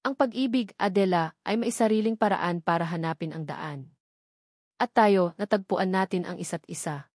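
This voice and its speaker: A woman's voice: fairly low pitch, normal speed, darkish tone, no echo, clean studio sound. A woman voicing a tender, earnest man in love, a devoted father, in a romantic storybook narration. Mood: tender, reflective.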